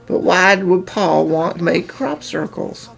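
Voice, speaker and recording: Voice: mid-range voice, expressive, a little slow, vocal fry, a bit bright, faint music behind. An actor playing a timid, whiny, emotional young male farmer in a cartoon fan dub, plaintive and hesitant. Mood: baffled.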